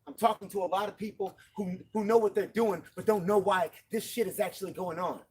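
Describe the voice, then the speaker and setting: A man's voice: high-pitched, steady tone, a little fast, a little mellow, breathy edge. A man giving an impassioned, profane speech to fellow marchers outdoors at night, hammering his points with repeated emphasis. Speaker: protest marcher. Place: outdoors at a night street protest.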